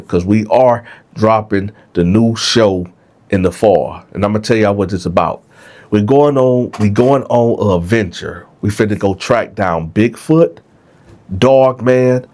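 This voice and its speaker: A man's voice: lowish voice, darkish tone. A man with a congested, stuffed-up nose hosting his channel, telling a story casually to viewers and checking in with "right?". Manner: conversational, keeps asking "right?". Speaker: vlog host.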